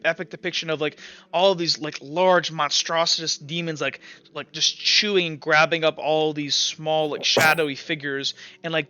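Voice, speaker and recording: A man acting as game master, improvising tabletop narration in halting descriptions full of fillers and repeats. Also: a little high, bright, forceful tone, clean tone. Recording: muffled lo-fi mic with music. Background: Latin chant music.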